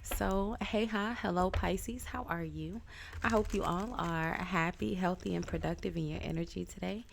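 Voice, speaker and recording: A woman giving a casual tarot reading, stuffed up with a cold, talking in a chatty, halting way with many ums. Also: on the low side, gravelly, background noise. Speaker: tarot reader.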